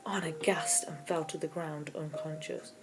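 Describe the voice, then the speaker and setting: A woman with a fairly low voice reads a children's story aloud. It is storybook narration, with clearly audible keyboard music playing behind her. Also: fairly even intonation, a little slow. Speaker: audiobook reader.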